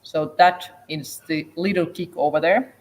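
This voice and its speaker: A woman's voice: sits low, steady tone, unhurried, slight background noise, poor audio. A woman from Finland with a Finnish accent, chatting casually as a whisky expert at a tasting. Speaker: whisky distillery expert and guest. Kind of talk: casual tasting chat in English.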